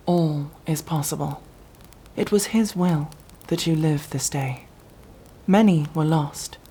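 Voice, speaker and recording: A woman's voice: low-pitched, mellow, breathy edge, full character voice. A woman playing a devout, zealous sci-fi battle sister in an ASMR roleplay, with solemn, preaching, archaically formal speech. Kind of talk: ASMR roleplay, dramatic monologue.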